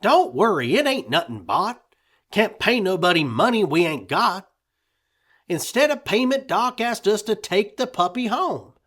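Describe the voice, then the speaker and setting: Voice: medium pitch, very animated, measured pace, fairly bright tone, pitched above the performer's own voice. A storyteller voicing a stubborn, tender rural mother in a rhyming tale, with a pleading, fiery drawl. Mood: reassuring.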